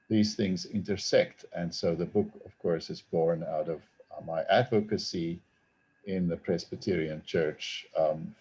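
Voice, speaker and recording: Middle-aged South African male academic; dark, gravelly voice, thoughtful and measured, speaking over a video call. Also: low voice. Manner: measured, thoughtful, with frequent ums. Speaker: theology professor and author. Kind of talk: online book talk.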